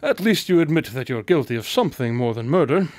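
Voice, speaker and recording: A man's voice: very animated, fast talker, a little mellow, dry recording, natural voice. A man playing a shrewd, exacting detective with a dry, precise, formal manner in a radio drama. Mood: probing. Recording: clear background noise, low rumble.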